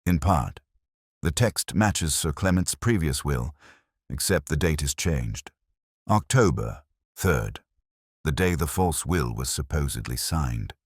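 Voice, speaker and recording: A man voicing a composed, shrewd Victorian detective in a storybook reading, with precise, formal, deliberate speech. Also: very deep voice, animated, husky, no echo, studio quality, full character voice. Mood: analytical, grave.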